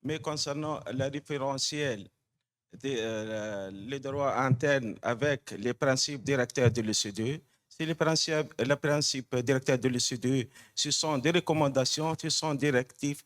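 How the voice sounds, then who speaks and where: A man from Tunisia, a tax official, asking a halting technical question from the floor at a conference. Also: medium pitch. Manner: halting, technical, many fillers and restarts.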